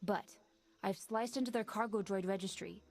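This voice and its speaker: Weary ex-smuggler pilot woman, voiced by an actress; brisk, businesslike, schemer. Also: medium pitch, darkish tone, soft background music, natural voice. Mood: scheming.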